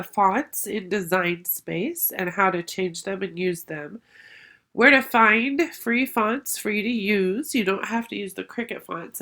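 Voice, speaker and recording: A middle-aged woman walks viewers through a crafting program, one patient step at a time. Her voice is gravelly and pitched in a plain middle range, with a stuffy, congested sound from a sinus infection. She talks in a warm, chatty teaching manner. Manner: friendly step-by-step explaining. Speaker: craft tutorial host. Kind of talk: screen-share software tutorial.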